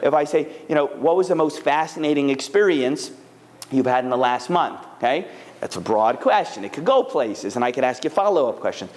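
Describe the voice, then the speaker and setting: A man's voice: mid-range voice, fairly quick, long reverb tail. A man talking casually and animatedly to a live audience, answering questions. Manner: chatty, animated, full of fillers.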